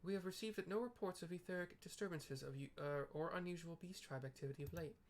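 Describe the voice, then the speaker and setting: A man voicing a composed, gracious female leader from a fantasy game, with formal, measured wording. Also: fairly high pitch, natural voice. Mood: agreeable. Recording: clear background noise.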